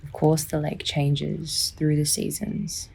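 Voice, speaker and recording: Woman, low-key monotone, husky breathy voice, creaky, soothing meditation guide, unhurried phrasing. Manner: soothing, gentle, unhurried, with long pauses. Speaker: psychologist guiding a sleep meditation. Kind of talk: guided sleep meditation, scripted narration.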